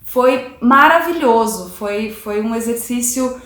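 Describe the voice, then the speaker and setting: A woman's voice: a little high, lively intonation, slow pace, a bit bright, roomy sound. A woman actress talking enthusiastically and thoughtfully about playing her role, with frequent restarts. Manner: enthusiastic, reflective, hesitant restarts. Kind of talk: interview talk.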